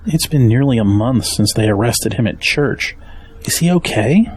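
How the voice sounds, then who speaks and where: A man plays a protective, steadfast family man in an audio drama. His mid-pitched voice is noticeably husky, and he speaks as a kindly, practical friend. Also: animated, normal speed. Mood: concerned.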